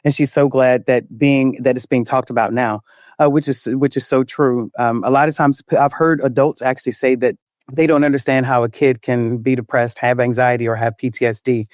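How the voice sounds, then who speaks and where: A man hosting a panel conversationally, affirming others and repeating words haltingly as he goes. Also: medium pitch, fairly even intonation, no echo, clean studio sound. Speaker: panel host, moderator. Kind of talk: conversational moderating, reading listener comments.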